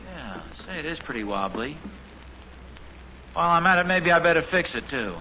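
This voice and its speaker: A man's voice: fairly high pitch, very animated, moderate pace. A man playing a boastful, fumbling middle-aged suburban dad and amateur handyman in 1950s American radio sitcom style. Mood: eager, self-important. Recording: noisy, muffled 1950s radio recording.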